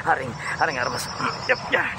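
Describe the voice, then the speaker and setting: A man with a Filipino accent playing an anxious, hurried action hero who mutters his moves to himself in an audio drama. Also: medium pitch, big pitch swings, very noisy. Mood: tense, effortful. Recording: loud music bed under the voice.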